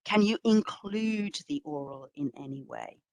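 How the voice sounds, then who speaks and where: A woman curator presenting a history talk with slides, speaking in measured, emphatic phrases and posing rhetorical questions. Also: sits low, lively intonation, vocal fry, a little mellow, no room sound. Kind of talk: public lecture, presenting to an audience with slides.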